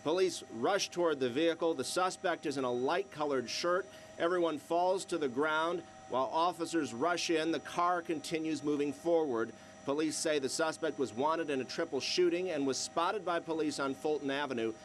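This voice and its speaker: A man reports the news from the field in a slightly high-pitched voice. His delivery is that of scripted television journalism. Faint traffic noise sits behind him on a city street. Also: normal speed, hardly any pauses.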